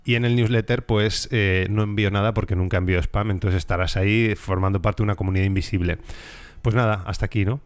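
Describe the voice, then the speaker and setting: A man's voice: on the low side, fairly even intonation, quick pace, clear, resonant tone, no room sound. A man hosting a podcast in casual, rambling chat, joking a lot and filling his talk with hesitations. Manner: rambling, jokey, many fillers. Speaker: podcast host.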